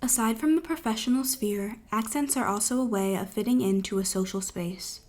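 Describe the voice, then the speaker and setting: A woman reading a podcast's scripted narration in a measured, even way. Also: fairly high pitch.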